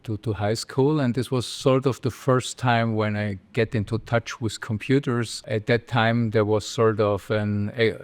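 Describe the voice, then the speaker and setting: A middle-aged man with an Austrian accent, a German native speaker and a computer vision professor, talking casually as a podcast guest, halting and repeating words as he goes. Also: lowish voice. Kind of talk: casual interview.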